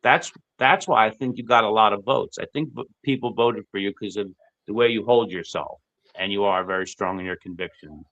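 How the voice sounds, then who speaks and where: A man's voice: lowish voice, fairly quick, no echo, muffled recording. A man who attends council meetings, speaking emphatically in a casual chat. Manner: emphatic, animated. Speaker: activist.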